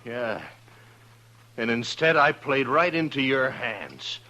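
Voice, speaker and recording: An actor playing a bitter, jealous, defiant killer in a 1950s radio western, husky and accusing. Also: male voice, mid-range voice, expressive, slow pace, pushed and bright, exaggerated voice. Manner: agitated, accusing. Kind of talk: 1950s radio drama acting. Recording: muffled 1950s radio recording.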